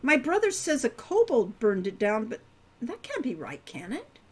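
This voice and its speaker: A curious, spirited teenage girl from a storybook, chatty and eager, played by a woman reading aloud. She speaks high with lots of movement in her voice. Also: huge pitch swings, normal speed, husky, full character voice.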